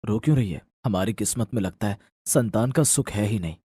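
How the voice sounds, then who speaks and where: A man voicing a gentle, loyal husband in a moral story, reassuring and resigned. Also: on the low side, expressive, a little fast, breathy edge, no echo, studio quality. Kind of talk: dramatised Hindi moral story acting.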